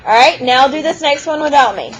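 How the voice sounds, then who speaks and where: A woman teaching math to a classroom, brisk and teasing as she walks students through problems. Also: high voice, animated, muffled recording. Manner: brisk, teasing, talks over a noisy class. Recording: noisy classroom chatter. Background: students chattering.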